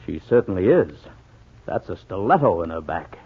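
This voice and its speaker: An actor playing a wry, grumbling American husband in a 1940s radio mystery, with a dry, sardonic manner and an American accent. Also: male voice, low-pitched, sing-song, moderate pace, narrow, tinny audio. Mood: startled, alarmed. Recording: thin old radio recording, loud music behind.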